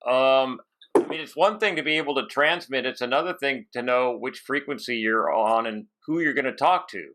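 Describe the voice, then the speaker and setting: A man's voice: medium pitch, moderate pace, pushed and bright. A man hosting a casual interview, chatting and asking questions. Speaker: interviewer, show host.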